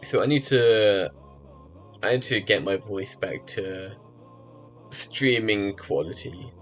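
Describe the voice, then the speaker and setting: A man chatting casually on a live stream, rambling with lots of fillers and restarts, his voice a little rough as if from early mornings. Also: fairly low pitch, slow pace. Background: faint music.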